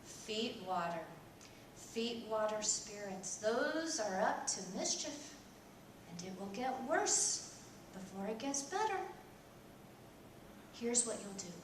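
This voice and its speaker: A woman storyteller voicing a kindly wise old woman with a soft, low, soothing manner. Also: sing-song, takes it slowly, pauses often, background noise. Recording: echoey room, voice from far off.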